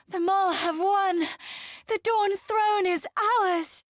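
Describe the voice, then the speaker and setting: A woman's voice: very high-pitched, fairly even intonation, slow pace, no room sound, clean studio sound. An actress playing a young, earnest tribeswoman in a fantasy video game. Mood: triumphant.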